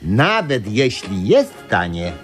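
An actor playing a cheerful storyteller in a radio play, handing out advice with deliberate emphasis. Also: male voice, lowish voice, huge pitch swings, faint music behind, exaggerated voice. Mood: instructive. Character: cheerful male storyteller dispensing practical travel advice.

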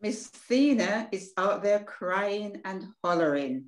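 Inquisitive, indignant little sister, Caribbean older woman reader; lively intonation, stage-play reading. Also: mid-range voice, slow. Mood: nosy, indignant. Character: inquisitive, indignant, tender-hearted younger sister in Barbados. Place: indoor room at home, on video call. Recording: roomy video call sound.

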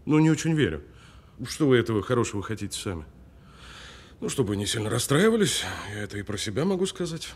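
Audio-film detainee, sardonic and unflappable, husky edge, very varied intonation, deliberate needling. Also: male voice, medium pitch, very animated. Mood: calm, sardonic. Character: cool, taunting detained male actor bluffing a policeman. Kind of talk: naturalistic audio film drama acting.

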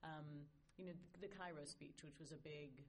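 A woman's voice: sits low, normal speed. A woman speaking as a panelist, asking long, searching questions with many restarts. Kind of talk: panel discussion. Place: conference panel stage.

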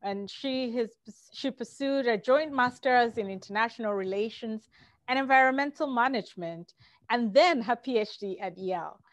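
Slightly high-voiced woman hosting a video interview. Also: no echo. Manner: formal introductions, halting with fillers. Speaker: host and producer. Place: home room. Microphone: video call.

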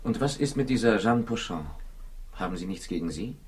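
Actor as a deep-voiced, laconic investigator. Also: male voice, expressive, fairly quick, natural voice. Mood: pensive. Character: calm, laconic male investigator asking probing questions. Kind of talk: 1960s German-language radio drama acting. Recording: noisy old radio recording with clicks.

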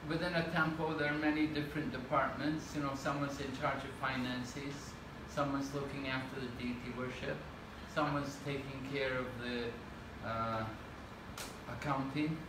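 An older man giving a talk in a temple hall, speaking in short measured phrases so that an interpreter can follow. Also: medium pitch, little pitch movement. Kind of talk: lecture with consecutive interpretation. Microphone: room camera at a distance. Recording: echoey room from far off, with noise.

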